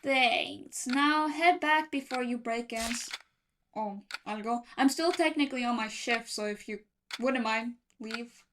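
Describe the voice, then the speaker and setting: A high-voiced actress as a manor secretary speaks. She is polite on the surface and quick to get exasperated. The radio play has a faintly noisy old-cassette sound. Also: a bit bright. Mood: dry, dismissive. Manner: polite but easily irritated, slips into Spanish. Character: fussy, exasperated female manor secretary, bilingual, quick to scold. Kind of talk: radio drama acting, recorded-diary monologue. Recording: noisy cassette-style recording with clicks.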